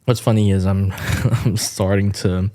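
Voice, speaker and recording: A low-voiced man in his early twenties speaks in a relaxed podcast conversation. He is chatty and giggly, with an easy storytelling way of talking. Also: measured pace. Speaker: podcast co-host. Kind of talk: casual podcast chat.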